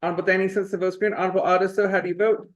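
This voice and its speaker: A male committee clerk reads votes and legislation in a fast, high, level voice, rattling off formal phrases one after another. The meeting audio sounds dull and lo-fi. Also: level tone. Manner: brisk, formulaic reading of votes and legislation. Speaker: committee clerk reading roll call. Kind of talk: formal procedural reading.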